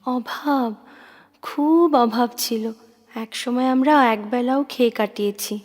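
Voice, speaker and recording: An actress playing a young woman who is a poet's devoted admirer, wistful, earnest and gently frank, in audio drama style. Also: a little high, slow. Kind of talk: Bengali audio drama acting. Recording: reverberant voice with music underneath. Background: background music.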